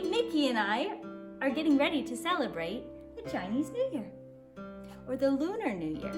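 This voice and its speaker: A woman talking and reading aloud expressively to young children as a kindergarten teacher, explaining things simply. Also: medium pitch. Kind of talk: children's story time, expressive read-aloud.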